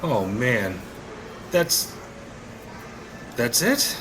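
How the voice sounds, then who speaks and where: Actor as anxious, halting, slow-spoken everyman. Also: medium pitch, sing-song. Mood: anxious, resigned. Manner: halting, uncertain. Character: anxious, indecisive married male customer, an everyman. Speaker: actor in an audio play. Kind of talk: audio drama acting. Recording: clearly noisy background.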